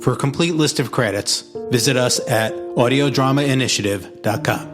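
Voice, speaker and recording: A man reading scripted closing credits as an announcer, in a measured narration style. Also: mid-range voice. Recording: guitar music under the voice. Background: plucked guitar music.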